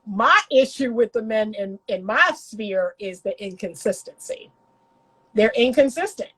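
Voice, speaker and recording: A fairly high-voiced woman speaks to her viewers as a relationship coach. Her voice is congested from a passing cold, and she leans on each point with emphatic repetition. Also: lively intonation, measured pace, husky, rough recording. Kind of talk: casual vlog commentary.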